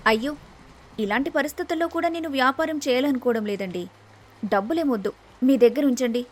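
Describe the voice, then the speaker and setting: A woman's voice: high voice, a little fast. A woman voicing a kind, selfless young daughter-in-law in a storybook tale, earnest and respectful. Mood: kind, obliging. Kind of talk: storybook character dialogue.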